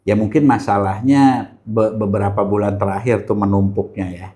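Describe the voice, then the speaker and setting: A man's voice: fairly low pitch, fairly even intonation, normal speed, warm tone. A man with a Jakarta Indonesian accent in a casual chat, telling things in slangy everyday language and acting out bits of dialogue. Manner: slangy, acts out dialogue with rhetorical questions. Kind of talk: casual chat, colloquial storytelling.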